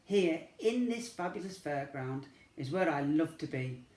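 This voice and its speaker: A male teacher reads a picture book aloud to young children. His pace is slow and gentle, and he breaks off now and then for asides to his listeners. The recording has a roomy, echoey sound. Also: on the high side, lively intonation.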